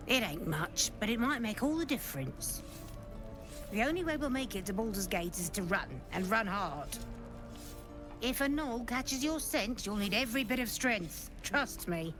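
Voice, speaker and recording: A woman's voice: on the high side, very animated, unhurried, long pauses, over music. A woman playing a blunt but kindly camp refugee in a video game, plain-spoken and urgent. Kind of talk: video game character acting.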